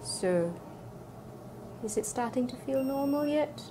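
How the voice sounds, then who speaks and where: A woman's voice: sits high, lively intonation, takes it slowly. A young adult woman working as a clinician in a small clinic room, explaining sensation tests to a patient. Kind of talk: instructional clinical exam talk. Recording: clear background room noise.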